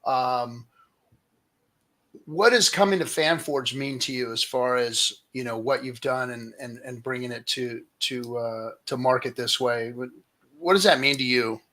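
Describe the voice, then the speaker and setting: A man's voice: medium pitch, measured pace, pushed and bright. A man hosting a casual interview, asking questions in loose, conversational language. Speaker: host.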